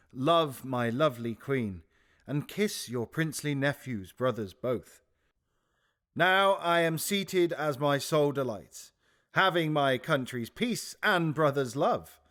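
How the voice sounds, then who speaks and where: Stately, high-voiced king. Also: a bit bright. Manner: declamatory, stately. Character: triumphant, regal king addressing his court. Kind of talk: classical Shakespearean audio theatre.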